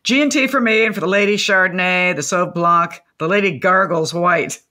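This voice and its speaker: An actress playing an opinionated, garrulous older widow in a comedic radio play, with a wordy, theatrical, dryly sardonic delivery. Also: female voice, lowish voice, normal speed, pushed and bright. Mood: irritated, sardonic. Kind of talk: comedic radio drama acting.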